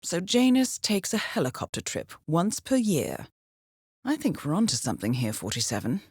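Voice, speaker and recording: An actress playing a composed, shrewd intelligence handler in a video game, giving mission briefings in a measured, precise and dryly wry way. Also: female voice, on the low side, very animated, darkish tone, breathy edge, no echo, studio quality. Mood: composed, intrigued.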